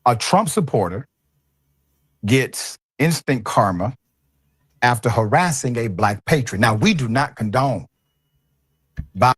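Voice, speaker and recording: A husky-voiced man reading a news report aloud, measured and adding his own comments. His pitch sits in a middle range. The recording is dry and close. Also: lively intonation, no echo.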